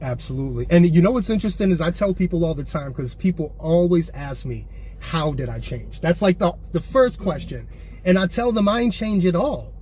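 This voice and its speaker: A man hosting a candid interview in a car, conversational and quick to agree. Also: high-pitched, expressive, dark tone, husky. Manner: affirming, conversational. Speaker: interview host. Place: inside a car.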